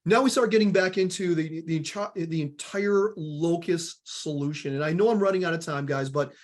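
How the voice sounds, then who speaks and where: A man with a Southern US accent presenting a product webinar, energetic and repeating words as he talks, often calling the audience guys. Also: a little high, normal speed.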